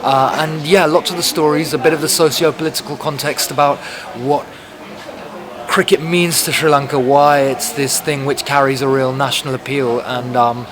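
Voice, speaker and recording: A man's voice: mid-range voice, fairly even intonation, normal speed, noisy background. A man in his thirties, an author being interviewed, talking casually and thoughtfully with many fillers. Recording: crowd chatter behind.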